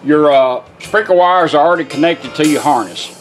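A plain-spoken man walks through a product in a casual, folksy way. His voice sits a little high for a man, and radio sound and music play clearly behind him. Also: moderate pace. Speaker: radio restorer demonstrating a product. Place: indoor workbench demonstration. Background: car radio broadcasts and music.

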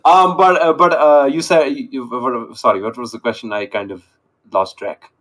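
A young man on a video call through a gaming headset, joking and boasting in a loud, cocky way. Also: mid-range voice, steady tone, moderate pace. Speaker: online date contestant. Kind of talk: casual video call banter.

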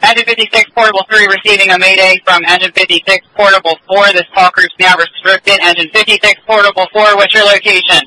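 A male fire dispatcher speaks formally over two-way radio. His voice is high and very sharp, and it stays flat in tone. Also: fast talker, few pauses, a little hiss or hum, low-quality recording.

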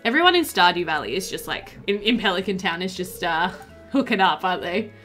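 A woman's voice: fairly low pitch, expressive, a little fast, fairly bright tone. A young adult woman giving casual, chatty commentary while playing a video game. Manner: chatty, reads game dialogue aloud playfully. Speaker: gaming video host. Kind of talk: casual let's play commentary. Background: game music.

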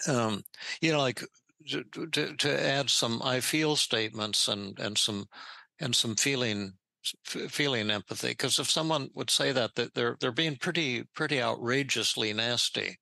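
An older man hosting a therapy podcast, talking in halting, repeated starts while demonstrating a role-play. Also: medium pitch, gravelly, bright, forceful tone, no echo, low-quality recording. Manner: halting, many repeated words.

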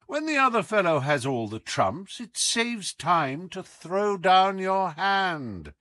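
A man voicing a sharp, commanding detective in an audiobook, coolly taunting, with deliberate, teasing emphasis. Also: sits high, very animated, no echo, voice pushed up, exaggerated voice. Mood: accusing. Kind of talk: audiobook character acting.

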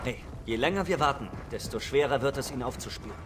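A man's voice: mid-range voice, animated, quick pace, fairly bright tone, lightly acted. An actor playing a talkative young male soldier with sarcastic remarks in a German game dub. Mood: quippy. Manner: chatty, sarcastic comments.

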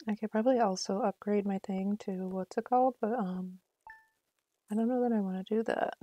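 A woman's voice: mid-range voice, steady tone, a little fast, warm tone. A woman chatting casually on a live game stream, thinking aloud in a self-deprecating way.

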